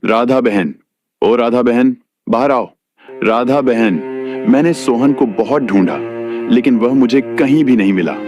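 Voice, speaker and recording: A man's voice: medium pitch, a little slow, dull audio, over music, close to an everyday voice. A man playing a greedy, scheming village labourer in a moral story cartoon, sly and deceitful. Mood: falsely reassuring. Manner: sly, falsely reassuring.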